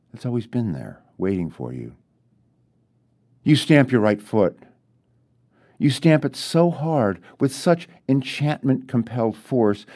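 A man reading his own short story as literary narration, deliberate and with dramatic pauses. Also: mid-range voice, mellow.

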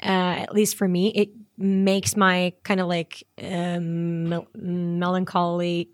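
A woman musician from Norway, a guest on a podcast, talking in an animated, casual way with many "like"s, in a small side room of a restaurant. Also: on the low side, slow pace, dry recording, studio quality. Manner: animated, laughing, lots of "like". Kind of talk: casual interview chat.

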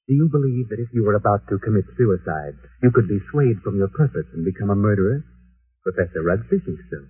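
An actor voicing a mysterious, ominous narrator figure in a 1940s radio drama, over music on an old, tinny broadcast. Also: male voice, on the low side, slightly rough. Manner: slow, ominous. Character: mysterious adult male night-walking narrator, ominous.

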